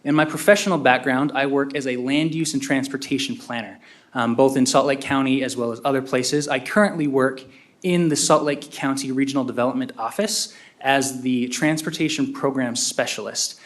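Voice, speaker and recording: Utah guy running for council, smooth and organized, mid voice, podium mic. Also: mid-range voice, steady tone, moderate pace. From Utah, USA. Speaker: city council candidate. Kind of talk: prepared statement at a public meeting. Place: city council chamber.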